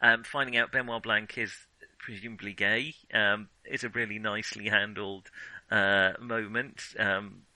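A man co-hosting a casual film discussion, with a hesitant, halting way of talking that often restarts phrases. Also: on the low side, fairly even intonation, sharp tone, dry recording, low-quality recording. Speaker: film podcast co-host.

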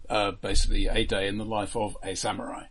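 A man discussing films casually as a podcast host, in a measured, matter-of-fact and informative way. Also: on the low side, flat delivery, a bit bright, slightly rough.

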